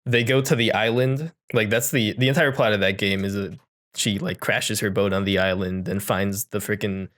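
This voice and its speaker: A man's voice: lowish voice, steady tone, moderate pace, a bit bright. A man co-hosting a podcast, chatting casually about films in a joking, bantering way. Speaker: podcast co-host. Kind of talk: casual film-discussion chat.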